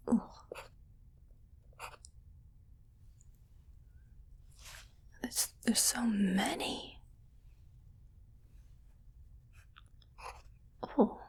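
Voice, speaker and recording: A woman voicing a haughty, fussy alien collector in a breathy, whispered ASMR roleplay, with a condescending manner. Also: on the high side, exaggerated voice. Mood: puzzled, disgusted. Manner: slow, deliberate, condescending.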